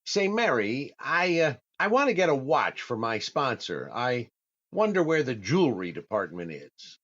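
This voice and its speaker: Fussy exasperated old-time radio comedian, animated, kinda hesitant, dull recording. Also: male voice, mid-range voice, moderate pace. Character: fussy, easily exasperated comedian out Christmas shopping. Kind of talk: 1940s radio comedy acting.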